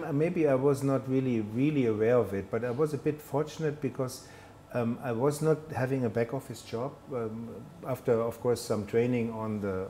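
Man in his fifties, dark mellow voice. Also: medium pitch, a little hiss or hum. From Germany. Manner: hesitant, self-deprecating, many fillers and restarts. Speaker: guest, telecom executive. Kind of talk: conversational interview. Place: studio set with brick wall, indoors.

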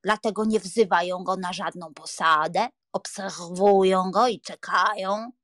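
Woman playing an anxious, slow-speaking doting aunt. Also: mid-range voice, fairly even intonation, fairly bright tone, no room sound, clean studio sound, close to an everyday voice. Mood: worried. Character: anxious, pious, doting old aunt of a count. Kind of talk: dramatised audiobook reading.

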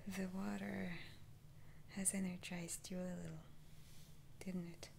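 A woman whispering in a breathy, halting, gently reassuring way, roleplaying a soothing witch doctor in an ASMR style. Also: on the low side, flat delivery, slight background noise. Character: soothing, unhurried female witch doctor lifting curses. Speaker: ASMR performer.

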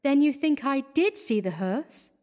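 A man's voice: extremely high pitch, expressive, unhurried, a little mellow, pitched above the performer's own voice. A man voicing a young nervous working-class woman who speaks earnestly and insistently.

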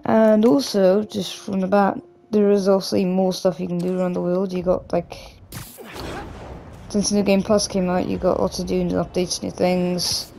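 Croaky young man chatting over gameplay. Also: sits high, flat delivery, normal speed, warm tone, a little hiss or hum, poor audio. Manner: rambling, loose, with many restarts and "like". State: hoarse, croaky from a cough. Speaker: gaming YouTuber. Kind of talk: casual gameplay commentary.

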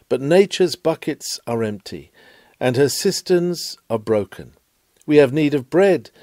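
A man's voice: medium pitch, warm tone, no room sound, studio quality. A man reading an old sermon aloud in an earnest, rhetorical and emphatic way. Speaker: sermon reader.

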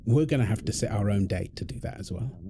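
A man playing an affectionate, tired husband and father in an intimate audio roleplay, talking tenderly with soft endearments. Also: fairly low pitch, animated, quick pace. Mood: caring, relaxed. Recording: clear background ambient noise.

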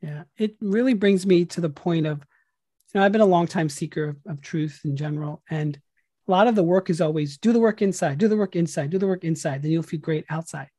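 A man's voice: on the high side, brisk, mellow, no room sound. An earnest man hosts a podcast, speaking reflectively and leaning on repeated phrases. The recording is dry and close, with no room around the voice. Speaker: podcast host. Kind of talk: interview and outro.

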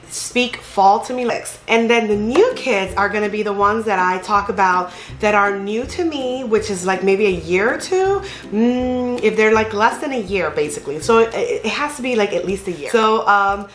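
A woman in her thirties chatting casually about nail polish, gushing and excited, with many fillers. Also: medium pitch. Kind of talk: casual vlog chat. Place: bedroom at home. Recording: echoey room with faint music.

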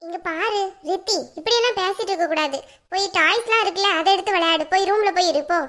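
An exasperated young mother in a family drama, acted by a woman, with a very high, bright voice. She talks bluntly and colloquially, like someone used to scolding. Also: fast. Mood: irritated, scolding. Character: exasperated young mother, scolding and threatening her little daughter.

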